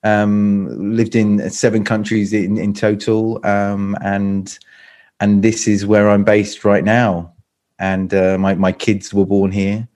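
A man's voice: lowish voice, level tone, slow pace, darkish tone. A middle-aged man from London with a British accent, a speaking coach chatting as a guest on a video call and often stopping to restart his sentences. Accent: British English. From England, London. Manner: hesitant, many restarts and repeats. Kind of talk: casual interview chat.

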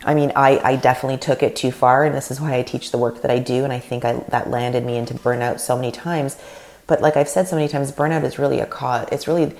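Brief: woman podcast host, thirties, very deep voice, gravelly, earnest and rambling. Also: fast. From Canada. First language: Russian. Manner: earnest, animated, rambling with restarts. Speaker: podcast host and leadership coach. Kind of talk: solo podcast talk.

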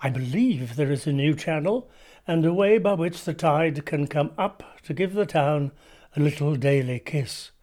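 Actor as a measured, wry Victorian gentleman writer. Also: a little high, lively intonation, close to an everyday voice. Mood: wry, musing. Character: witty Victorian gentleman writer musing on a town. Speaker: actor reading journal extracts. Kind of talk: dramatic reading of a Victorian journal.

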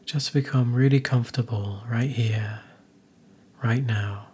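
Slow, even-toned man guiding meditation. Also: medium pitch, slightly rough. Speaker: meditation guide. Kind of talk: guided meditation narration.

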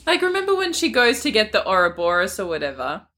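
Animated, bright-voiced woman chatting about books. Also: mid-range voice, quick pace. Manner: jokey, acting out characters' lines in slang. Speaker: podcast co-host. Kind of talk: casual book discussion chat.

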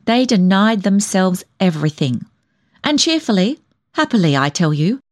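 Severe older woman, blunt, solemn scold with a soft heart, for storybook reading, played by a female narrator. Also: mid-range voice, big pitch swings, normal speed, close to an everyday voice. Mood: solemn, indignant. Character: stern, severe older female cousin, indignant, soft underneath.

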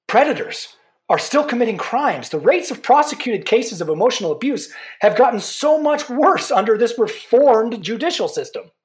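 Fervent campaigner, insistent and rhetorical, big melodic swings, audio drama debate. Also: female voice, a little high, very animated, normal speed, a little mellow. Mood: indignant, sardonic. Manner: emphatic, repetitive, rhetorical. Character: impassioned political campaigner for safety, zealous and insistent. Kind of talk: fiction podcast drama, talk show debate.

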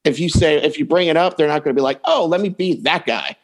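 Higher-pitched man giving casual advice. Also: fast talker. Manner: plain-spoken, acts out example quotes. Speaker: dating coach, podcast guest.

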